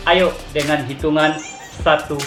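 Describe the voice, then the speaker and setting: A man's voice: fairly high pitch, steady tone, a little slow. A man hosting a reaction channel, chatting in an upbeat YouTube style and tossing in "oke guys" often. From Malaysia. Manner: friendly, peppers talk with "oke guys". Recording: echoey room with faint music.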